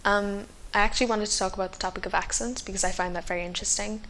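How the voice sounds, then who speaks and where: A young woman from Ireland talking candidly to camera for a documentary, pausing often with hesitant fillers. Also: medium pitch, fairly even intonation, fairly bright tone, low-quality recording. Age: young adult. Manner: candid, hesitant with frequent ums. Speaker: documentary participant. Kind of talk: reflective personal testimony.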